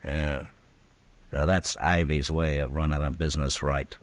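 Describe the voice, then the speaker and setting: A man's voice: a bass voice, level tone, a little slow. A man playing a stern, dry American town marshal in an old-time radio western, speaking in a laconic way. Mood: serious.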